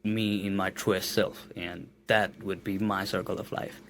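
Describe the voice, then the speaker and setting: A man's voice: low-pitched, flat delivery, unhurried, a little hiss or hum. A man in his late twenties from Nepal, with a Nepali accent, speaking earnestly as a podcast guest and often repeating words as he searches for his phrasing. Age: late 20s. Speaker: guest musician. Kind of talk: casual podcast interview.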